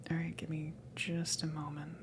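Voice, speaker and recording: A woman playing a casual, kind alien salvage crewmember in a hesitant, reassuring ASMR roleplay, whispery and breathy. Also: deep voice, monotone, moderate pace, background noise, lightly acted. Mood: gentle, apologetic. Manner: hesitant, rambling, reassuring, soft chuckles. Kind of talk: ASMR roleplay, improvised-sounding soft talk.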